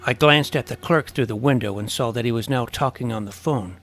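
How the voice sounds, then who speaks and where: A man's voice: medium pitch, a little fast, a bit bright, lightly acted. An actor playing a wry twelve-year-old boy detective who narrates his own adventure in an audio drama. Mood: wry, watchful. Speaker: narrator and lead. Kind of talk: scripted first-person story narration. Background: clear music.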